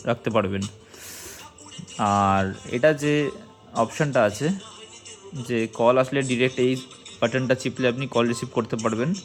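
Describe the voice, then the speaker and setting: A man giving a casual hands-on tutorial, explaining step by step and speaking directly to viewers. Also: mid-range voice. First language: Bengali. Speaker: motovlogger and product reviewer.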